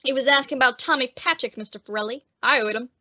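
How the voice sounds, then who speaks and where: A woman's voice: high-pitched, quick pace, bright, forceful tone, no echo. A woman playing a crude, gloating male henchman in an old-time radio drama, slangy and eager to please the boss. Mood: jeering. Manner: jeering, slangy. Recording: dull, close lo-fi audio.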